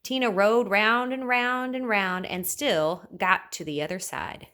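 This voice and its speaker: A woman reading a children's story aloud in an expressive, playful manner. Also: medium pitch, measured pace. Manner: animated, with playful repetition and sound words. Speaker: storybook reader.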